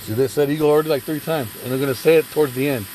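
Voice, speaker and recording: A man's voice: fairly high pitch, racing, noisy background. A man giving a blunt, profane religious commentary in a casual ranting style, swearing heavily.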